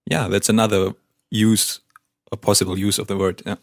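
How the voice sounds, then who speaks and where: A man's voice: on the low side, little pitch movement, moderate pace, no echo, clean studio sound. German native man, patient teacher. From German-speaking. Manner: patient, models pronunciation. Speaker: language teacher. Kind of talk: language lesson dialogue.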